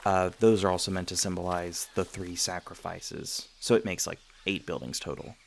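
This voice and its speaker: Man acting a low, creaky-voiced friendly vampire student. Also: steady tone, moderate pace, a little hiss or hum. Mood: easygoing, informative. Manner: casual, conversational, gently joking. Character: friendly, teasing male vampire university student and tour guide. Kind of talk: ASMR roleplay acting, casual chat to listener.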